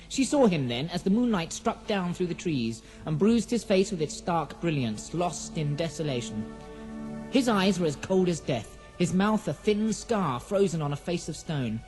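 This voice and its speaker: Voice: mid-range voice, big pitch swings, moderate pace, a little mellow, faint music behind, poor audio. A narrator reading a scripted fantasy audio drama in a poetic, dramatic storybook manner.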